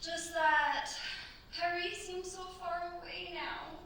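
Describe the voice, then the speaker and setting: An actor playing a dutiful, anxious young French bride in a staged radio play, speaking politely and hesitantly. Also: female voice, extremely high pitch, fairly even intonation, slow pace, pushed and bright, full character voice. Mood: uneasy, wistful. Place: staged performance in a cultural centre. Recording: very echoey hall, distant mics, faint hiss.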